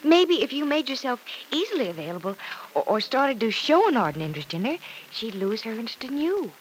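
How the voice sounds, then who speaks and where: A woman's voice: a little high, big pitch swings, fairly quick, poor audio. Thoughtful young woman in old radio soap. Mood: thoughtful, advising. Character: sympathetic, perceptive young woman advising a friend. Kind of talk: 1940s-50s radio soap acting. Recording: old radio recording, faint noise.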